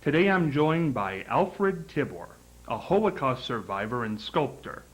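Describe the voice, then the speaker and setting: A man from Ohio hosting his own talk show, introducing a guest and asking formal, measured questions. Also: medium pitch, big pitch swings, poor audio. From Ohio, USA. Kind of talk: interviewing a guest.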